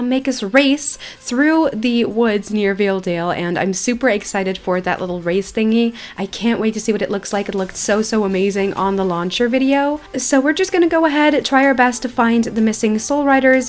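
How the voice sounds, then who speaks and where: A woman giving casual, chatty, self-deprecating gameplay commentary as a video host, sometimes reading quest text aloud. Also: a little high.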